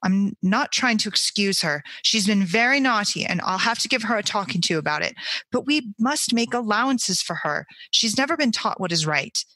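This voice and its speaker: A woman reads out a solemn older guardian from a storybook. She is firm but fair and deliberate, in a bright voice recorded very dry. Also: mid-range voice, animated, no echo, rough recording. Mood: firm, measured. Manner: slow, deliberate.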